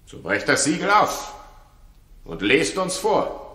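A wrathful tyrant king, imperious and declamatory. His male voice is fairly high and sharp, swinging widely in pitch, in the style of sixties radio drama. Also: huge pitch swings, takes it slowly, a little hiss or hum, heavily acted. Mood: commanding. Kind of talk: 1960s German radio drama acting. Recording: echoey, reverberant 1960s radio studio recording.

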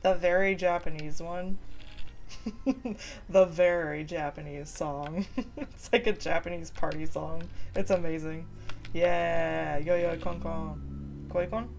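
A woman streaming a game from home, chatting with viewers in a relaxed, giggly way. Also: on the low side, slow. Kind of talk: casual chat while gaming. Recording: faint keyboard typing behind.

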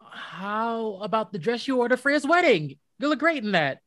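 A woman with an American accent playing a gentle, anxious young husband in a modern radio play, casual and conciliatory. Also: fairly high pitch, sing-song, normal speed, no room sound. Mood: concerned.

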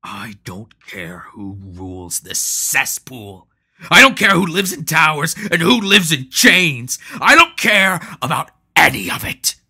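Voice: low voice, slow, bright, forceful tone, slightly rough, heavily acted. A storyteller voicing a vengeful male spear-wielding warrior who speaks in a thunderous, menacing way. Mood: furious. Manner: thunderous, through clenched teeth.

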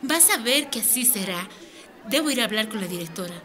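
A woman's voice: fairly high pitch, expressive, a little fast, crowd noise, lightly acted. A woman playing a direct, earnest women's rights advocate in a radio drama, speaking insistently. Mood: reassuring, determined.

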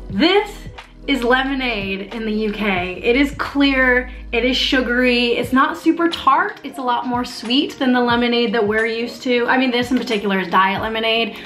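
A young woman from North America talks to her viewers in a relaxed, chatty vlog. Her voice sits a little high, and she keeps things bright and upbeat with plenty of easy "like" fillers. Faint music plays softly underneath. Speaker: vlogger. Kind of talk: casual vlog chat.

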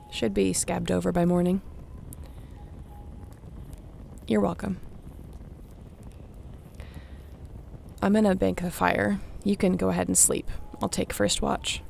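A woman playing a young apocalypse survivor, a tender, teasing companion in an intimate audio roleplay, with a creaky voice. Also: medium pitch, a little mellow, a little hiss or hum. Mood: relaxed, caring. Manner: unhurried, intimate, playful.

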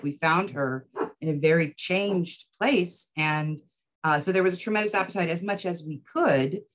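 A middle-aged woman answering interview questions as a guest on a video call, thoughtful and measured, with pauses. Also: low voice, a bit bright. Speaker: guest writer and producer. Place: indoor room with bookshelves, video call.

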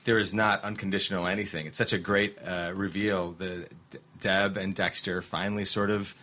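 Man, middle-aged, low husky voice, podcast host, casual talk, frequent laughs and restarts. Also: level tone, bright, forceful tone. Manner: laughs often, halting with many restarts.

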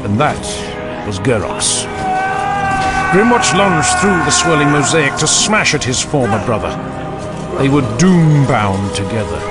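A man narrating a dramatic fantasy audiobook in a grave, deliberate manner. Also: fairly high pitch.

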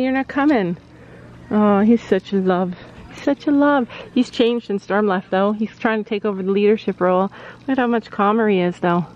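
A woman's voice: mid-range voice, darkish tone. A woman in her fifties from Canada, a mother hosting a family vlog, chatting in a rambling way. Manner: chatty, rambling, coos at animals. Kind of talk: casual vlog chat. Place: home office, then car and horse barn. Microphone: handheld camera at arm's length. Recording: dull lo-fi camera audio, faint background noise.

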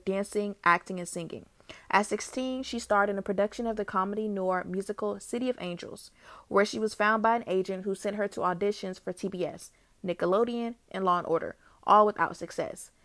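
A young woman narrates a biography for her channel at a mid pitch, reading steadily and now and then restarting a phrase. The sound is dry, with no room echo. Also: a bit bright. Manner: reads steadily, with small restarts. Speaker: YouTube host. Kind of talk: read-aloud biography narration.

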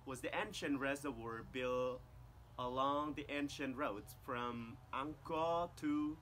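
A man's voice: mid-range voice, takes it slowly, fairly bright tone, a little hiss or hum. A Cambodian man in his thirties, a tour guide speaking careful non-native English while explaining a temple outdoors to the camera. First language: Khmer. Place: outdoors among jungle temple ruins.

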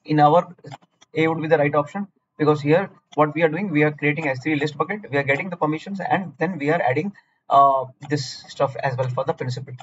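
Fast-talking Indian man lecturing. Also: a little high, muffled recording, a little hiss or hum. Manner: blunt, repetitive, scolding lecturing. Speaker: cloud certification tutor. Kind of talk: screen-recorded exam walkthrough.

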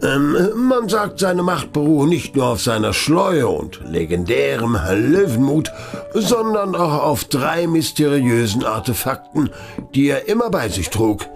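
A man's voice: mid-range voice, very animated, vocal fry, faint music behind. A man acting a wealthy, genteel and effusive art collector in a German audio play, pompous and hesitant in his delivery. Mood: reverent, boastful. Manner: pompous, hesitating, drawn-out exclamations and chuckles.

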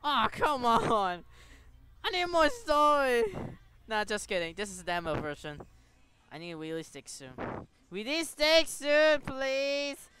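A woman's voice: fairly high pitch, sing-song, slow, fairly bright tone, smooth. A woman giving dramatic, reactive let's play commentary, often repeating phrases and reading game text aloud. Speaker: gaming streamer. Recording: music behind the voice.

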